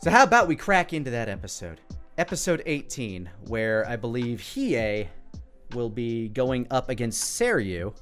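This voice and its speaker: Lively man giving casual commentary. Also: medium pitch, a little slow, soft background music. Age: 30s. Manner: rambling, self-deprecating, joking asides. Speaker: host commenting on own abridged anime series.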